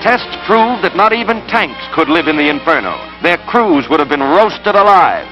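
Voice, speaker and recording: A man's voice: on the high side, very animated, moderate pace, muffled recording. A man with an American accent narrating a 1940s newsreel in a dramatic, emphatic, scripted style. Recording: old 1940s newsreel soundtrack, loud music. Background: loud orchestral newsreel score.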